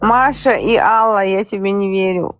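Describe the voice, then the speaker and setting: A woman hosting a live voice chat, talking casually, direct and skeptical, quick to tease and challenge. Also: medium pitch, no echo. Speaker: stream host.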